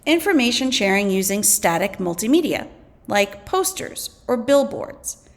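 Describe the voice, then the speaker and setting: A woman teacher reading a scripted lesson aloud, deliberately and clearly enunciated, pausing between items. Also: mid-range voice, sing-song. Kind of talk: scripted educational read-aloud.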